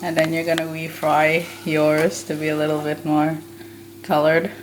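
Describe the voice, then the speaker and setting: A woman's voice: deep voice, level tone, normal speed. A woman talking in a casual, chatty, informal way to her vlog at home. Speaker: vlogger. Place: indoors at home, living room and kitchen. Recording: noisy home camera audio.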